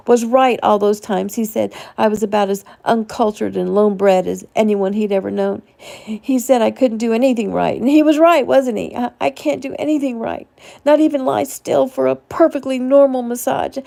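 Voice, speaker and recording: Author acting out a tearful, high-pitched distraught woman. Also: normal speed, creaky, dark tone. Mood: anguished, self-blaming. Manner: stammering, tearful, repeating words. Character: distraught, self-blaming, timid abused woman.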